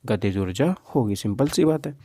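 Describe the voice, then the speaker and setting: A male teacher walks students through practice questions. His voice is relaxed and mellow at an average pitch, recorded very dry. He teaches informally, often asking a question and then answering it himself. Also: normal speed, dry recording. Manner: informal, Hindi mixed with English terms. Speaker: physics teacher. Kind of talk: exam practice lesson, question and answer walkthrough.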